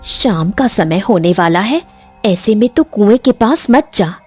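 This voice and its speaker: Woman as a worried, slow-speaking village woman. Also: mid-range voice, lively intonation, a little mellow, husky, soft background music. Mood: worried, warning. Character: worried village woman passing on ghost warnings.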